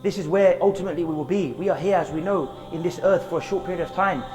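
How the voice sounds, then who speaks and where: A man's voice: high-pitched, fast, darkish tone. A man preaching an earnest outdoor sermon in a graveyard, asking rhetorical questions and reciting verses. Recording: long reverb with faint music.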